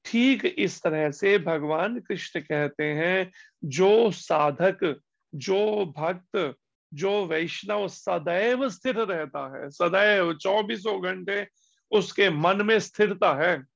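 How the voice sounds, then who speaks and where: A man giving a devotional discourse as a spiritual teacher, slowing down on key words and asking rhetorical questions. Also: sits high, vocal fry, fairly bright tone. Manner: slow, deliberate emphasis with pauses on key words. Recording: dull lo-fi recording.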